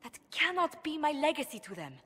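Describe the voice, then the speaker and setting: A woman's voice: high voice, sing-song, a little fast, fairly bright tone, long reverb tail. An actress playing a proud, worried young noblewoman diplomat in a video game, speaking formally, precisely and earnestly. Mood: frustrated, worried. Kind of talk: video game cutscene acting.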